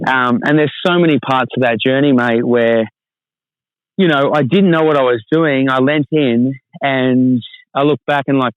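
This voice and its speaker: A man's voice: mid-range voice, no echo, lo-fi sound. A man talking as a podcast guest in a rambling, friendly way, often calling his host mate. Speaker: podcast guest, fitness business owner. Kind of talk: casual storytelling interview.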